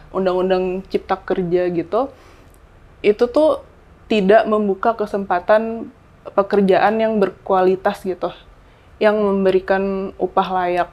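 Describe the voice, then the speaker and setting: A young woman speaks as a journalist guest in a relaxed podcast conversation. She thinks aloud, dropping small fillers while she looks for the right word. The sound is slightly dull and lo-fi. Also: medium pitch. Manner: thoughtful, searching for words with many fillers. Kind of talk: casual podcast chat.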